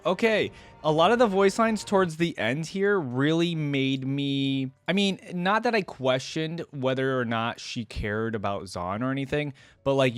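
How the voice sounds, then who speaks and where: A man's voice: mid-range voice, smooth, no room sound. A man hosting a reaction video, talking casually and enthusiastically in a rambling, conversational way. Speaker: YouTube reactor, host.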